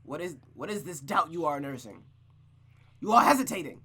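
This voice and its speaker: A man's voice: fairly high pitch, lively intonation, moderate pace, pushed and bright, husky, pitched above the performer's own voice, full character voice. A man voicing a controlling, bitter mother in a visual novel, cold, commanding and lecturing. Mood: furious, menacing. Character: controlling, bitter, menacing mother, adult woman.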